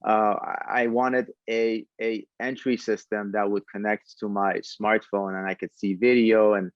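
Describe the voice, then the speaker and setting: A man giving conversational answers as a podcast guest, speaking in a halting way with frequent pauses. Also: lowish voice, little pitch movement, no echo, lo-fi sound. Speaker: podcast guest, tech entrepreneur.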